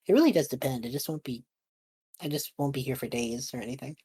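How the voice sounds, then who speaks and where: A woman's voice: rumbling low, fast talker, mellow, slightly rough, dry recording, clean studio sound, exaggerated voice. A young adult woman voicing an apologetic college-age male time traveler in improvised tabletop roleplay, explaining himself in a rambling, matter-of-fact way. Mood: apologetic, reassuring.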